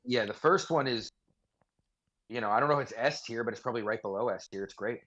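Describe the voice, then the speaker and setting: A man's voice: medium pitch, fast, fairly bright tone. A man chatting casually as a podcast co-host, giving opinions in a blunt, sweary, slangy way. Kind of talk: casual chat, opinionated commentary. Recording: dull, lo-fi voice audio.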